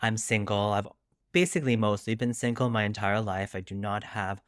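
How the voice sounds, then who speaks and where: Low-voiced man, chatty and self-deprecating. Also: normal speed, dry recording, clean studio sound. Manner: animated, self-deprecating, chatty, quotes his own thoughts. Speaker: guest author discussing his debut novel. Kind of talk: casual interview chat.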